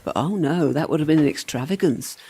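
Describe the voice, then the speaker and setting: A woman's voice: low-pitched, a little fast, mellow, a little hiss or hum. A woman playing the ghost of a flippant young servant in a comic radio play, sarcastic, teasing and breezy. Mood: mocking.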